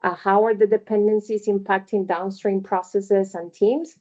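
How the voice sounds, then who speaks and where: A woman's voice: mid-range voice, little pitch movement, moderate pace, darkish tone, dull audio. A middle-aged woman gives a conversational career talk on a video call, with many fillers and "right?" tags. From Venezuela. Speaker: webinar panelist.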